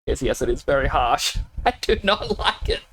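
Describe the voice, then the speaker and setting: A man's voice: fairly high pitch, very animated, moderate pace, fairly bright tone, slight background noise. A man streaming a video game, chatting casually with viewers, laughing often and a little sniffly with a cold. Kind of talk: casual live-stream chat.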